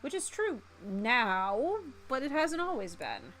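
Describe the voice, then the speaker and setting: A woman voicing a proud, earnest gentleman chef with big dreams in a visual novel. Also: on the high side, sing-song, takes it slowly, a little hiss or hum, heavily acted. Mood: wistful pride.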